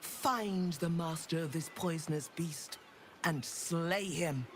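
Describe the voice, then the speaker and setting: Slow, imperious sea priestess. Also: female voice, sits low, slight background noise. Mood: grave zeal. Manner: solemn, imperious, florid preaching. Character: stern, zealous high priestess of a sea goddess. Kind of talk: dramatic fantasy game acting.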